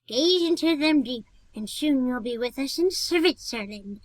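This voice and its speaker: Ancient gleeful witch storyteller, high and sharp, theatrical radio horror, very dry close sound. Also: animated, dry recording. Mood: eerie, beckoning. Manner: slow, theatrical, cackling. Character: cackling 111-year-old witch, gleeful storyteller of spooky tales. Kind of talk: old-time radio horror acting.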